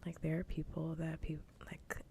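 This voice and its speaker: A woman streamer chatting casually in a soft, breathy whisper, rambling along in ASMR style on a livestream. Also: deep voice, completely flat delivery, moderate pace, a little hiss or hum. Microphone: close ASMR microphone.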